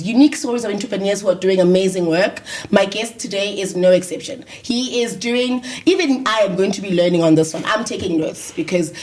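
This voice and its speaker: A woman hosting an interview podcast, lively and teasing, laughing often. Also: mid-range voice. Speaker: podcast host. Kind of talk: upbeat interview chat.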